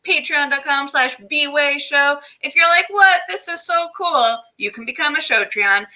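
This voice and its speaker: A woman's voice: sits high, normal speed, bright, forceful tone. A woman hosting a show in an upbeat, casual way, with a playful, exuberant manner. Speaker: show host.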